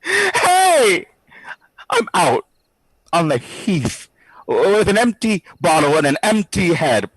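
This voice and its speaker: An actor playing a brash, sardonic skeptic who talks in slangy, theatrical quips in an audio drama diary. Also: male voice, high-pitched, very animated, slow, slightly rough, no room sound, heavily acted, rough recording. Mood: drunk, rambling. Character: brash wisecracking skeptical man, boozy ghost-study participant.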